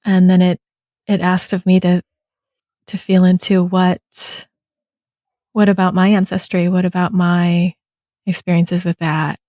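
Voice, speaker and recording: A woman hosting an interview podcast, speaking gently and affirming her guest with soft agreement. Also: on the low side, flat delivery, a little slow, long pauses, dry recording. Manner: gentle, affirming, lots of mm-hmms. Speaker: podcast host. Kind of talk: casual interview chat.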